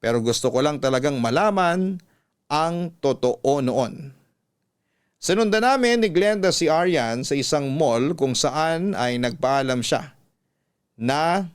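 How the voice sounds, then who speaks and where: A man with a Filipino accent reading a listener's letter as a scripted, measured and earnest radio story. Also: medium pitch.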